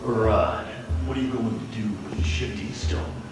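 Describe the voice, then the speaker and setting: An actor playing a measured, serious lieutenant in a video game. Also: male voice, on the low side, gravelly. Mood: questioning. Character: measured, loyal male lieutenant to a warlord. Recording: echoey, distant, under loud music.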